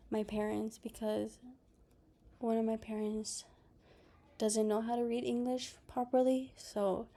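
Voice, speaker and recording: A young woman whose first language is Spanish gives a class presentation at a slow, unhurried pace. Her voice is mellow and fairly high, with a flat, even delivery. She pauses often and restarts phrases as she goes. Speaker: student presenter. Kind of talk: class presentation, partly read, partly personal.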